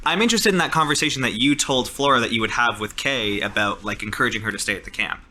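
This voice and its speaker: A man's voice: mid-range voice, fast talker, pushed and bright, a little hiss or hum. A man talking informally as a player in a tabletop game, with "like" peppered through his sentences. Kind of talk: casual game chat and narration.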